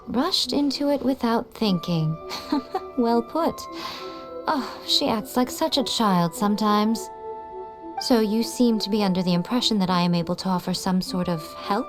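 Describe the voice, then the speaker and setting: A woman voicing an elegant, condescending shrine familiar in a video game, sly and knowing, with a measured, teasing manner. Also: mid-range voice, music in the background, lightly acted. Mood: wry exasperation. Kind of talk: video game character acting.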